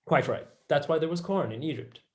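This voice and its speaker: A young man playing a passionate, blunt, excitable mathematician in a staged play reading. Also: fairly high pitch, brisk, lightly acted. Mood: wry. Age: young adult.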